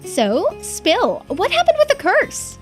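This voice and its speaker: Woman as bubbly young girl, cheeky, high voice, swooping pitch, game character. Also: huge pitch swings, slow pace, faint music behind, full character voice. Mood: excited. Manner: bubbly, informal.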